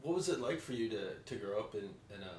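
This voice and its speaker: A man's voice: lowish voice, lively intonation, fast talker. A man in casual conversation, speaking hesitantly as he searches for his words. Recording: echoey room, faint noise.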